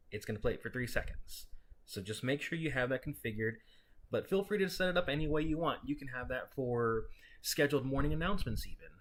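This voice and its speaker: Man giving a casual software walkthrough. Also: medium pitch. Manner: explanatory, conversational, instructional. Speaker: software tutorial presenter.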